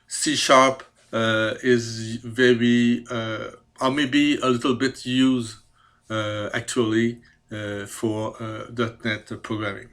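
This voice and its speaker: A man in his fifties from Quebec, a native French speaker with a Quebec French accent, presenting his skills with careful, hesitant speech and many pauses. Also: mid-range voice, flat delivery, fairly bright tone. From Quebec, Canada. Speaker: CAD programmer. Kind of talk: self-presentation video.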